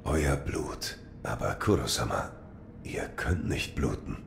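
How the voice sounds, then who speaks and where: An actor playing a stoic, loyal shinobi warrior in an audio drama, terse and solemn. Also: male voice, a bass voice, animated, takes it slowly, gravelly, a little hiss or hum, heavily acted. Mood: earnest, reserved. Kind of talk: German audio drama acting.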